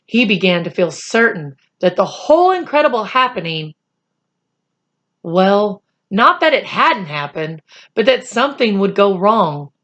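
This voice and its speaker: A woman reading a children's chapter book aloud in expressive, deliberate storytelling phrases. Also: medium pitch, lo-fi sound.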